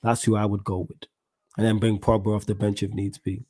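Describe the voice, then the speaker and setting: A man hosts a live football podcast stream, working through viewer comments one by one. He talks in animated, slang-heavy bursts and keeps checking in with his audience. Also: lowish voice, brisk. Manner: animated, heavy slang, keeps saying "you know what I'm saying". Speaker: podcast host.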